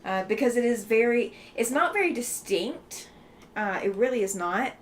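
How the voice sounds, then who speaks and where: A woman's voice: on the high side, animated, moderate pace, slight background noise. Chatty woman with a faint Southeastern lilt. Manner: chatty, reading out and answering viewer comments. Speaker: livestream host. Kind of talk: casual livestream chat.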